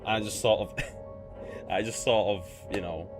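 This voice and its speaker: A man with a blended British and American accent rambles casually through a livestream, talking to himself and his chat. His voice sits on the low side, and music plays clearly underneath. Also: fairly even intonation, a little slow. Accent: mixed British and American. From Poland. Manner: chatty, rambling, thinks out loud. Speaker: streamer.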